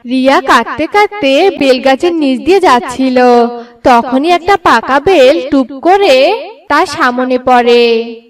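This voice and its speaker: High-pitched, slow-paced woman storyteller. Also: animated, far from the mic. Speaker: narrator. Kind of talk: scripted storytelling narration for a cartoon.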